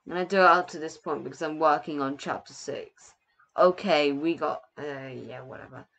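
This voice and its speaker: A man's voice: fairly high pitch, normal speed, creaky, bright, forceful tone. A young man giving casual, rambling game commentary in a hesitant, self-deprecating way, sometimes reading captions aloud. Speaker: gaming video host.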